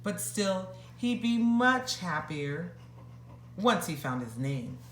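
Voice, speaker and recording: A woman reading a rhyming bedtime story aloud with expressive narration. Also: fairly low pitch, takes it slowly, reverberant, slight background noise.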